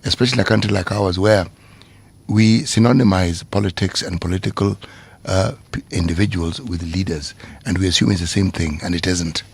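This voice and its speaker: A man co-hosting a radio talk show, articulate and deliberate, in a lecturing way. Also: deep voice, fairly even intonation, vocal fry, slightly rough. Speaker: radio co-host.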